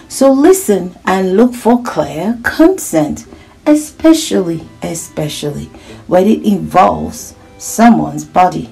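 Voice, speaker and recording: A woman hosting a children's read-aloud, animated and encouraging, speaking directly to her young listeners with little check-in questions. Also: lowish voice.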